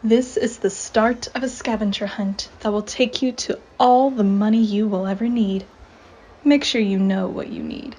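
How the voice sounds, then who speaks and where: A woman playing a mysterious messenger who speaks calmly and cryptically. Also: mid-range voice, normal speed, slight background noise, lightly acted. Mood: cryptic.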